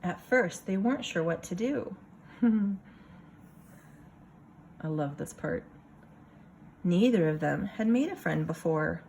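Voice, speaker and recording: Woman reading a kids storybook, takes her time, bit of fry in the voice, chatty asides, slight noise behind. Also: on the low side. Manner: expressive, pausing to comment and wonder aloud. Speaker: kindergarten teacher reading a picture book aloud. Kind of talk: storybook read-aloud with casual asides to children.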